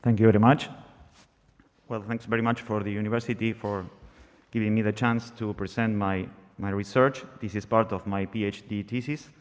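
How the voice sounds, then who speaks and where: A man's voice: lowish voice, flat delivery. Man presenting in a reverberant hall. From Argentina. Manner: halting, frequent restarts, plain and earnest. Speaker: conference presenter, PhD researcher. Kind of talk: academic talk. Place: conference hall, seated at a panel table, masked. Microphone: desk microphone on a stand. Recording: long reverb of a large hall.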